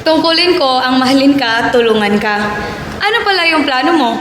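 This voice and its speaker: A woman's voice: high-pitched, expressive, brisk, noisy background. A young Filipino woman acting a caring, supportive girlfriend in an amateur radio drama. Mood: affectionate. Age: young adult. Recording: echoey, reverberant homemade recording.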